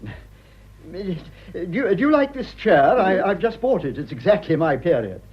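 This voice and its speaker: Radio play: anxious British young man, prim and stammering, very varied pitch, lo-fi sound. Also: very animated, normal speed, slightly rough, heavily acted. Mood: fussy, defensive. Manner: hesitant, fussy, stammering. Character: fussy, anxious young English gentleman. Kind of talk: radio drama acting.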